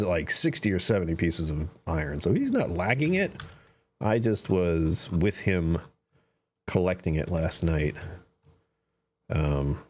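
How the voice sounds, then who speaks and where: Low-voiced man streaming a game. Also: a little mellow, husky. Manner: chatty, self-talking, trails off into whispers. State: slightly strained voice. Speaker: live streamer. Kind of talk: casual gameplay commentary.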